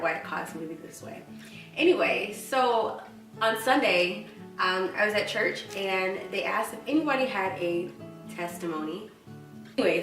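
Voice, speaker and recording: Woman vlogger with a stutter, unhurried and reflective, roomy sound from a distance. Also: medium pitch, sharp tone. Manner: earnest, reflective, many fillers and restarts. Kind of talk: casual personal chat to camera.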